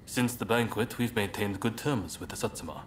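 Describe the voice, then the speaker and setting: A male actor plays a thoughtful samurai rebel in a game cutscene. His voice sits fairly low and his phrasing is polite and measured. Clear ambient sound runs behind the words. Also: a little hiss or hum, lightly acted. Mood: humble, reflective. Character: earnest, soft-hearted samurai rebel leader, devoted and thoughtful. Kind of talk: video game cutscene acting.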